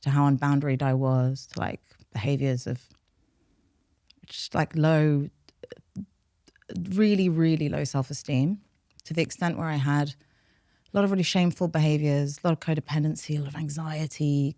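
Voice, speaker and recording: A woman's voice: deep voice, dark tone, no echo, clean studio sound. A woman from North London, a native Farsi speaker with a London accent, talking openly and reflectively about herself in an interview. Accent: London English. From North London, England. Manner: open, reflective. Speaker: podcast guest, behaviour change specialist. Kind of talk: candid personal interview.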